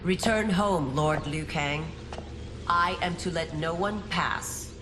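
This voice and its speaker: Low-voiced, stern royal guard. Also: female voice, slow, background noise. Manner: curt, formal. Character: stern, dutiful woman guard of an empress. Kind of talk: dramatic video game cutscene acting.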